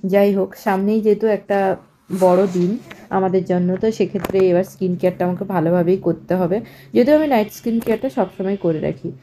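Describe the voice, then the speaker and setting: A woman's voice: mid-range voice, steady tone, a little fast, warm tone, slight background noise. A woman with a Bengali accent talking casually to her viewers in a chatty, explanatory vlog style, mixing in English words. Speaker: vlogger.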